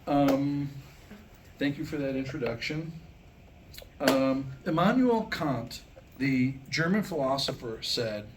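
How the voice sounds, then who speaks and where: A man reading a scripted comic monologue at a live staged reading, with a wry, mock-scholarly delivery and deliberate phrasing. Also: medium pitch. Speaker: writer presenting his new humorous script. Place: live staged reading before a small audience. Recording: clearly noisy live room recording.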